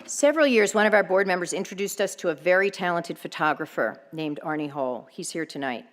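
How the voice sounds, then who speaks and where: A woman who leads a nonprofit gives a prepared speech at an event, speaking in a measured, formal, grateful way. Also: lowish voice. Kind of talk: prepared speech to a live audience. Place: event hall. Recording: long reverb of a large event hall.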